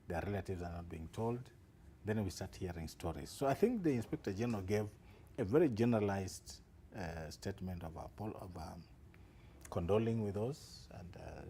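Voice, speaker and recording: A man's voice: lowish voice, moderate pace, mellow, a little hiss or hum. A man, a security analyst guest on live TV news, explaining with assurance and emphatic rises.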